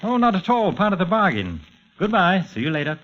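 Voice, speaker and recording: An actor playing a formal, secretive government agent in a 1940s radio drama, explaining things in a businesslike way. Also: male voice, high-pitched, big pitch swings, a little fast, heavy background noise. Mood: brisk, courteous. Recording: dull, muffled old radio recording.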